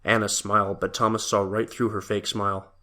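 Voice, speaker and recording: Low-voiced male story narrator. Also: flat delivery, a little fast, bright, forceful tone.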